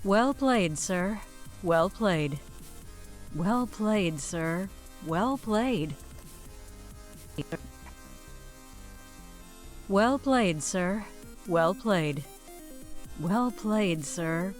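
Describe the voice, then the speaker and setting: Woman acting a slow, formal starship officer. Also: medium pitch, expressive, soft background music. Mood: taunting, dry. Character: female starship junior officer, formal and dutiful. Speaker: voice actor in a video game. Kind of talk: scripted game cutscene dialogue, repeated takes.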